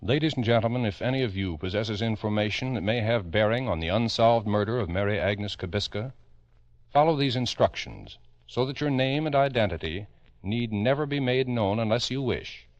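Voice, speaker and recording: An American man narrating a true crime radio show in a grave, emphatic 1950s style, addressing the listener urgently with dramatic pauses. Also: lowish voice, no room sound. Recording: dull vintage 1950s radio recording.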